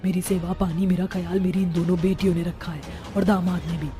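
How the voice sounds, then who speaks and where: A woman's voice: on the low side, little pitch movement, fairly quick, mellow, lightly acted. A woman voicing a scolding middle-aged witch mother in a cartoon story, with theatrical delivery. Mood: remorseful. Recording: music behind the voice.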